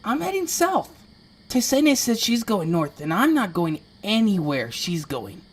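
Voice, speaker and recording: A woman's voice: medium pitch, big pitch swings, unhurried, husky. A woman playing a sincere, stubborn little male creature with wounded feelings in an amateur audio drama. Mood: hurt, resolute. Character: earnest, wounded one-eyed small male flying creature.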